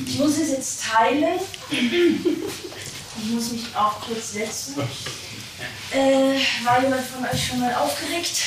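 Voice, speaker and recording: An actress as a playful storyteller on a roomy stage. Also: female voice, high-pitched, slow pace, pauses often, distant mic. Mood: nervous. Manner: playful, teasing, laughing asides. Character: woman storyteller chatting with the audience, playful. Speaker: stage actress in a solo show. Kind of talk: theatre monologue to the audience. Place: theatre hall. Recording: echoey stage recording from afar, audience noise.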